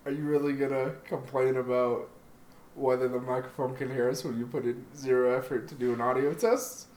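A man's voice: mid-range voice, steady tone, a little fast, darkish tone. A young man hosting a podcast, bantering casually with friends and laughing often. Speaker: podcast host. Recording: echoey room with faint noise.

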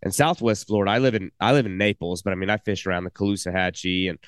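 A man's voice: low voice, steady tone, fast, clear, resonant tone, dry recording. Enthusiastic male podcast host, dry mic. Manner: enthusiastic, rambling with restarts. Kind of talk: casual podcast interview.

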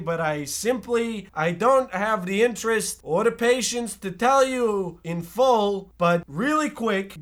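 A man's voice: high-pitched, fairly bright tone. A man playing a naive, pampered young boy sidekick, earnest and wholesome, in a comic radio play. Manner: gee-golly wholesome, earnest. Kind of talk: comic parody radio drama.

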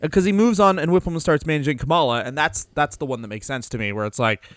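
A man's voice: on the high side, fast, no echo. A man co-hosting a casual podcast, rambling loosely with lots of fillers and offhand swearing. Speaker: podcast co-host. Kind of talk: casual wrestling podcast chat.

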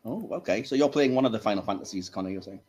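Guy hosting a casual film podcast, bit of a rough husky voice, chatty. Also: fairly low pitch, expressive, rapid-fire, darkish tone. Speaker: podcast host. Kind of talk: casual film ranking chat.

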